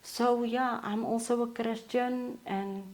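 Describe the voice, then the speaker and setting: A South African woman in her forties, a counselor talking as a podcast guest in a steady, matter-of-fact way with frequent pauses. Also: on the high side. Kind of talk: casual interview talk.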